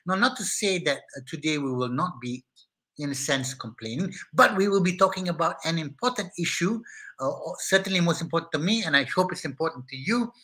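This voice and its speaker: A man's voice: fairly high pitch, brisk, low-quality recording. A middle-aged Malaysian man with a Malaysian accent, hosting a live online talk show and chatting energetically with viewers in a small studio. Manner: energetic and hyper, greeting viewers by name. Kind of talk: casual live-stream chat with viewers. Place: small indoor studio set with brick wall.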